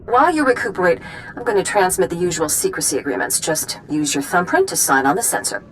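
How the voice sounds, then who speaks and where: An actress playing a driven doctor who speaks in a brisk, blunt, businesslike way. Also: female voice, on the low side, animated, a little hiss or hum, natural voice, low-quality recording.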